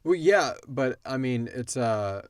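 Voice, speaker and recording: A man's voice: mid-range voice, animated, slow, smooth, no echo. A man hosting a casual Q and A, explaining in an informal, rambling way. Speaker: podcast host. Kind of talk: casual Q and A discussion.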